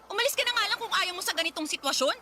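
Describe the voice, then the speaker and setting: A woman's voice: very high voice, animated, very fast, background noise. A woman acting a brazen, snippy young romantic rival in a Filipino radio drama, taunting and dismissive.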